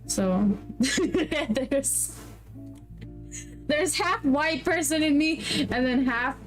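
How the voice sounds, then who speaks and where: A playful young woman hosts a loose interview, her voice sitting a little high. She teases, repeats herself and laughs often. Faint music plays underneath. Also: animated, extremely slow, low-quality recording. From New York. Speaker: podcast host. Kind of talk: casual chat.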